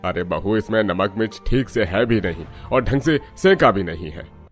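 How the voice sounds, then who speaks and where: A frank middle-aged father-in-law, played by a man in a cartoon story. His voice is fairly low and authoritative, with soft music behind. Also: expressive, moderate pace, close to an everyday voice. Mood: displeased. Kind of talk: Hindi cartoon story dubbing.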